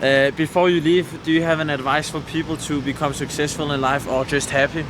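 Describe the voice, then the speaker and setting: A man's voice: fairly high pitch, fairly even intonation, fairly quick. A man chatting casually as a vlogger, rambling a bit hesitantly and putting questions to passers-by outdoors. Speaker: vlogger, street interviewer. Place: outdoors by a road in a mountain valley. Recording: windy, noisy outdoor roadside.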